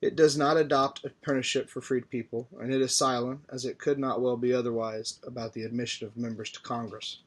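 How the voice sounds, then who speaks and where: A man reading a formal historical political speech aloud in a measured, deliberate way. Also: mid-range voice, level tone. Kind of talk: formal oratory read aloud from a text.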